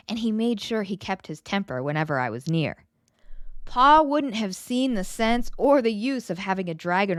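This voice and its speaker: A woman narrating a children's picture book in an expressive read-aloud storytelling style. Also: fairly high pitch, clear, resonant tone, no room sound, clean studio sound.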